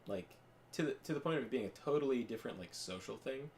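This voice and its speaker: A man's voice: medium pitch, animated, quick pace, a little hiss or hum. A young man chatting casually as a podcast co-host, rambling with lots of fillers and restarts. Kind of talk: casual chat while gaming.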